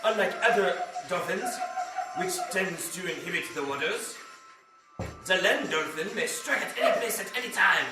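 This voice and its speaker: Man playing high-pitched mock nature narrator, echoey. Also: lively intonation, normal speed. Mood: mock grand. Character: mock nature documentary narrator, grandly authoritative. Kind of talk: parody documentary narration. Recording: echoey live room, distant, music bed.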